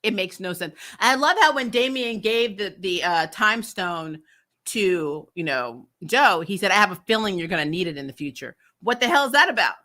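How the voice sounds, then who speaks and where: Woman doing a chatty recap review, bright forceful voice, mid pitch, blunt and fed up, swears a bit. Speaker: host of a TV show recap channel. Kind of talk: casual opinionated review chat.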